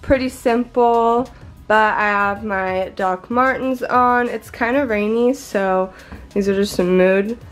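A woman's voice: mid-range voice, takes it slowly, faint music behind. A young woman in her twenties chatting casually to the camera in a small apartment, congested from a cold, bubbly and chatty with casual swearing. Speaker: vlogger. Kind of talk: casual vlog chat to camera. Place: small apartment bedroom, indoors. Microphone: camera in front, close framing.

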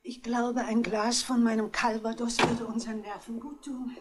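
A woman's voice: on the high side, level tone, normal speed, lightly acted. An actress playing a genteel, indignant old lady under suspicion in a 1960s German radio crime drama. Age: older.

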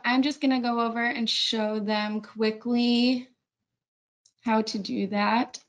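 A woman's voice: fairly high pitch, lo-fi sound. A woman walking viewers through a website in a webinar, chatty and correcting herself often. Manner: chatty, self-correcting, many ums. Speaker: webinar presenter. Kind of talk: screen-share webinar walkthrough.

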